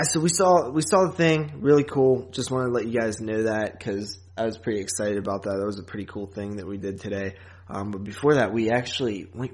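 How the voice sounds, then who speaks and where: A man hosting a podcast in casual chat, rambling along informally and chuckling often. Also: fairly low pitch. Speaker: podcast host. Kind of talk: casual chat about horror movies and podcasting.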